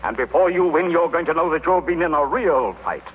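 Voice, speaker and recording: Pompous, animated businessman, played by a man. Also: on the high side, a little fast, natural voice. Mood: threatening. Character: pompous, indignant, hard-nosed businessman. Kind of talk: 1930s-40s radio acting. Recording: tinny, noisy old radio recording.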